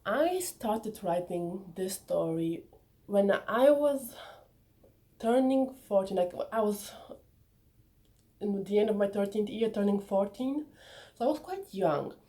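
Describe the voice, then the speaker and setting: A woman's voice: medium pitch, lively intonation, slow, long pauses, mellow. A young woman whose first language is Bulgarian, talking chattily and eagerly to her viewers about her book. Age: young adult. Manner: enthusiastic, chatty, sometimes personal and reflective. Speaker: author presenting her book. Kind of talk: casual vlog talk to viewers.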